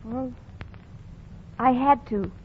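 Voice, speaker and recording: Vintage radio comedy, dull sound: plucky young working girl, high-pitched, wry, plain-spoken. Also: drawn-out words, a little hiss or hum. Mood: meek. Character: plucky, wry young shopgirl saddled with a baby. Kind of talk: 1940s radio comedy acting. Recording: dull lo-fi 1940 radio recording.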